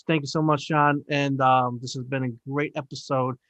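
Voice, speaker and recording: A man's voice: medium pitch, little pitch movement, normal speed, a bit bright, no echo. A man in his forties hosting a casual podcast chat, talking in a rambling, upbeat way with lots of fillers. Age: 40s. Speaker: podcast host.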